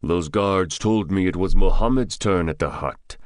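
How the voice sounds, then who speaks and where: A cold armed man from an audiobook thriller, voiced by a male narrator, speaks very low and close in a dry space. His manner is curt and deliberate, full of threat. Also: dry recording. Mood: cool, probing. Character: cold, menacing armed man posing as drug buyer. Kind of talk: audiobook character dialogue.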